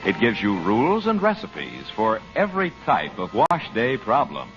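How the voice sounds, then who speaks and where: A man's voice: on the low side, wildly swooping pitch, moderate pace, over music. A man announcing a sponsor's offer in scripted 1940s radio style. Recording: muffled old radio recording.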